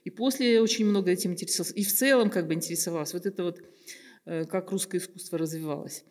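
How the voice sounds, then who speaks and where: An older woman from Kazakhstan, a guest artist answering questions thoughtfully, with many pauses and hesitant fillers. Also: lowish voice, a little mellow. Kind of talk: reflective interview talk. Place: bright room with plants, seated at table. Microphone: table microphone on stand. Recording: roomy reverberant room.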